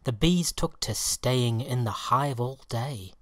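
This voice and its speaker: A man reading a children's tale as a storyteller, expressive and measured, with a husky, breathy voice. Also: medium pitch, dry recording, studio quality. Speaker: storybook reader.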